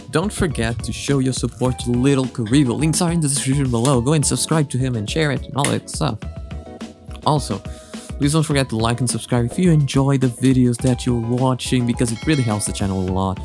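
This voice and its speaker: A man's voice: mid-range voice, moderate pace, a little mellow. A man hosting a casual reaction vlog, speaking in a hesitant, rambling way and often correcting himself. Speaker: host of a reaction channel. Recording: music behind the voice. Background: background music.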